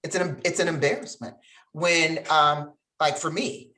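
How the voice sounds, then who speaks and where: Low-pitched woman, earnest panelist. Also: measured pace, bright, forceful tone. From grew up in Geneva, Switzerland. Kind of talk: conversational panel discussion.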